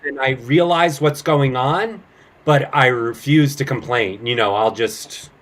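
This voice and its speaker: A man's voice: mid-range voice, unhurried, a bit bright. A middle-aged man chatting casually as an interview guest, telling stories in an informal way and acting out bits of dialogue. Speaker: guest, actor and improv comedian. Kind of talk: casual chat, anecdotes.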